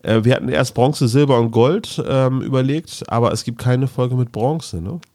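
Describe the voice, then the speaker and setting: A man's voice: medium pitch, moderate pace, warm tone, dry recording, studio quality. A man talking casually as a podcast host.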